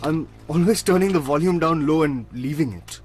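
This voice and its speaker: An actor playing a polite, evasive household secretary in a radio drama, with a husky voice. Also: male voice, on the high side, moderate pace, a little mellow, faint music behind, natural voice.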